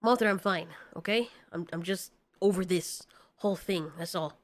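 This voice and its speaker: Woman acting as male kaiju, gruff manner, frustrated, curt, defensive, monster audio story. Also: fairly low pitch, normal speed, pitched below the performer's own voice, natural voice. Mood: tense, defensive. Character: Rodan, brash frustrated young male winged kaiju.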